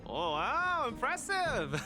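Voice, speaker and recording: Animated, cocky young male fighter. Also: very high-pitched, slow, music in the background, exaggerated voice. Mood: cheerful, cocky. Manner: breezy, bold, playful. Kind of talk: scripted video game dialogue.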